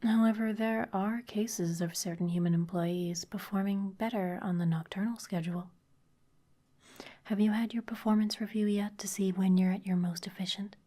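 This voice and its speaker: A woman voicing a sly, intimidating female vampire executive in a close, breathy ASMR whisper, polished and teasing. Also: medium pitch, steady tone, normal speed, mellow, close to an everyday voice. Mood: smooth, managerial. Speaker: ASMR performer.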